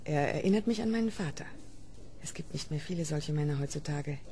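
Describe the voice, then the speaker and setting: A woman's voice: low-pitched, fairly quick, warm tone, a little hiss or hum, natural voice. An actress playing an older female doctor who speaks bluntly and dryly. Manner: blunt, dry.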